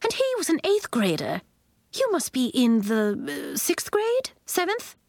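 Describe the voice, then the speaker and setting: A woman voicing a grand, theatrical, fussy lady bird keeper in a storybook, with gushing, drawn-out exclamations. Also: sits high, huge pitch swings, darkish tone, no room sound, clean studio sound, pitched above the performer's own voice, full character voice. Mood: impressed.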